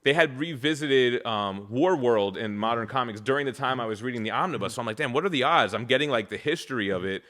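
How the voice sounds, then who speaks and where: A man, hosting a casual chat and talking fast and eagerly, with casual swearing. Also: mid-range voice, a bit bright. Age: 30s. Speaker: podcast host.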